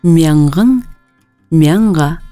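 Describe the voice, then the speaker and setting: Slow, careful woman reading lesson words. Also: sits low, lively intonation, warm tone, no echo, faint music behind. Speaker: native-language speaker in a lesson.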